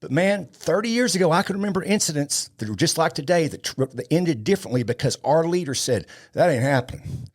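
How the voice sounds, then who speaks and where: A man's voice: a little high, animated, a little fast, few pauses, slightly rough. A man in his fifties speaking as an interview guest, blunt and impassioned, repeating phrases for emphasis. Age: 50s. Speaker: podcast guest, law enforcement trainer.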